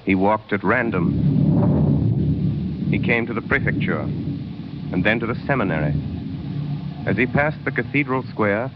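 A man narrating a 1930s radio drama as a grave storyteller, in solemn, measured, dramatic short phrases. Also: lowish voice, expressive. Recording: dull, noisy 1930s radio recording.

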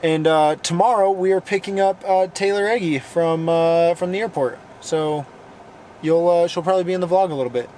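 A worn-out young man whose voice has gone hoarse, talking a bit high for a guy. He rambles casually, full of "um"s and restarts, like he is wrapping up a long day on camera. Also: normal speed, a little mellow, slight background noise. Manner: rambling, filler-heavy self-talk. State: hoarse, losing voice; very tired. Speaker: vlogger. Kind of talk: casual vlog talk.

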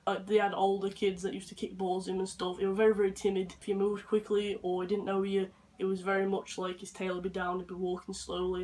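Young man, hoarse from flu, rambling casual vlog chat, bedroom webcam. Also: high-pitched, poor audio. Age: young adult. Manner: chatty, rambling, talks softly to his dog. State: hoarse from flu and chest infection. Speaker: vlogger. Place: bedroom, indoors.

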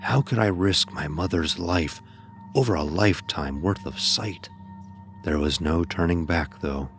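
Very deep-voiced male horror narrator. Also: faint music behind. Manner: grave, deliberate storytelling. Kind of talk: scripted first-person horror narration.

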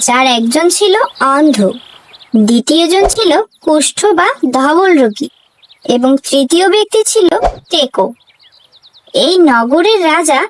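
A woman reads scripted storybook narration for a cartoon. Her voice is high and animated, with faint noise in the background. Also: poor audio.

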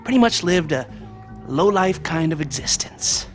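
A man's voice: fairly high pitch, lively intonation, a little slow, soft background music. A man playing a nerdy American criminal mastermind in a video game, wry and theatrical, with mock-formal speeches broken by hesitations. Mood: storytelling. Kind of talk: video game cutscene acting.

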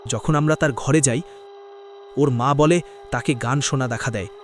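An earnest young man in a cartoon horror story played by a male actor. His voice is mid-pitched and urgent, explaining things gravely over noticeable noise. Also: normal speed, close to an everyday voice. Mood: earnest concerned.

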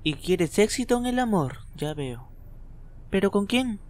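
A man voicing a playful, teasing boy ghost in a fan dub, swinging from low murmurs to loud lines. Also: big pitch swings, measured pace, dry recording. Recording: faint anime music behind.

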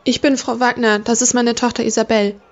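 A woman's voice: a little high, level tone, brisk, dull audio, close to an everyday voice. A woman playing a worried, polite mother searching for her daughter in a German audio drama. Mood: anxious, pleading.

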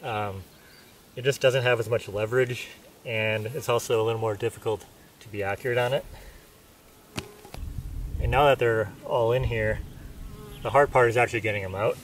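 A man's voice: medium pitch, steady tone, normal speed, pauses often. A man testing camping hammers outdoors, explaining plainly and thinking aloud as he works. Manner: plain, matter-of-fact, thinking aloud while working. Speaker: gear reviewer. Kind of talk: casual product test. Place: outdoors on open dirt ground in pine woods. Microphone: camera mic at a distance. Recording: distant outdoor camera audio with faint noise.

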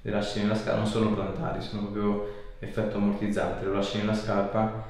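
A man's voice: fairly low pitch, completely flat delivery, brisk. A man in his thirties, a chiropractor, giving a patient casual, reassuring instructions in short coaxing phrases. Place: small bare treatment room, indoors. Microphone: camera mic a few feet away. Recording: echoey room, distant mic, background noise.

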